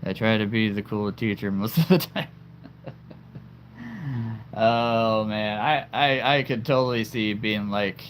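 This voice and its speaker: A man's voice: on the low side, steady tone, a little slow, long pauses, a little hiss or hum. A man hosting a livestream in casual chat, laughing often and stammering over repeated words. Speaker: livestream host.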